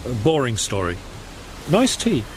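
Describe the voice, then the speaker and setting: Wry, lively British detective friend. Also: male voice, mid-range voice, a little slow, noisy background. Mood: chatty. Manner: flippant, teasing sarcasm. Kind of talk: surreal radio comedy drama acting.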